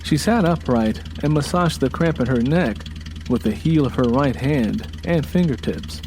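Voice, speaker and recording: A man narrating a scripted audio drama in a measured, dramatic storytelling manner. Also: mid-range voice, animated, darkish tone, slight background noise. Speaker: narrator and writer.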